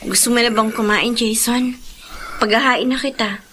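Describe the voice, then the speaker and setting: An actress playing a young, hurt but dutiful daughter and caring older sister in a Filipino radio drama, gentle and plaintive. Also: female voice, a little high, fairly even intonation, moderate pace, slight background noise, natural voice. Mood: wistful, sad.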